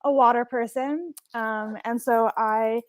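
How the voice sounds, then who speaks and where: A young woman from Hawaii talks through a casual online workshop as a marine scientist. She is chatty and enthusiastic, and her fairly high voice sounds close and dry, with no room around it. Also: steady tone, slow, no room sound. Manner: chatty, enthusiastic, laughs often.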